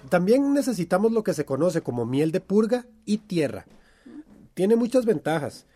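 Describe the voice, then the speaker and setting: Actor as a mellow, higher-voiced male instructor. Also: very animated, normal speed. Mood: friendly, instructive. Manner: patient, explanatory. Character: friendly environmental activist teaching farming. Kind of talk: radio drama acting.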